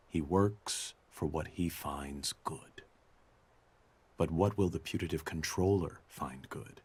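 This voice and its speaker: A man reading a philosophical quotation as a grave, questioning thinker, deliberate and very husky. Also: very deep voice, a little mellow, full character voice. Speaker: voice actor reading quotations for game audio logs.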